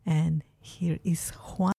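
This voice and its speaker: Slow, dark-voiced woman radio host. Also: sits low, gravelly, slightly rough, no echo. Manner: relaxed, unhurried delivery with occasional fillers. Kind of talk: radio show presenting. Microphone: studio microphone.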